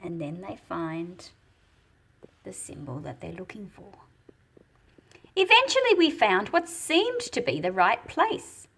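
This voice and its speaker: Woman, storybook read-aloud with commentary, animated intonation, slow deliberate phrasing, slightly husky. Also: mid-range voice, slight background noise. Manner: expressive, explanatory, deliberate phrasing. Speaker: picture book read-aloud host.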